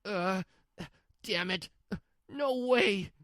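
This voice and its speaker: A woman's voice: mid-range voice, drawn-out words, fairly bright tone, no echo, studio quality, voice pushed up. A woman voicing a selfish, panicky husband who whines and demands in a manga story dub. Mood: frustrated. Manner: demanding, pleading. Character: selfish, whining adult husband, entitled and panicky.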